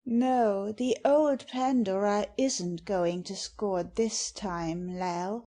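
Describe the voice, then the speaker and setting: Female actor for tart, slangy young woman of stage satire; measured delivery, mocking edge. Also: medium pitch, steady tone, warm tone. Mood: grave, wry. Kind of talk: acted stage satire in audio.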